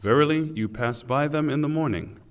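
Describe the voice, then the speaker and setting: A man reading a scripture translation in a solemn, formal, deliberate way, with pauses. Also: a little high. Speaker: translation reader. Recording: long hall reverb.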